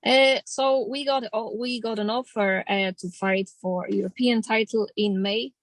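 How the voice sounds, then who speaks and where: A woman's voice: fairly high pitch, steady tone, normal speed. A woman in her thirties from Poland with a Polish accent, a boxer speaking in a casual interview in plain, determined, slightly non-native English. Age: 30s. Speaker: professional boxer.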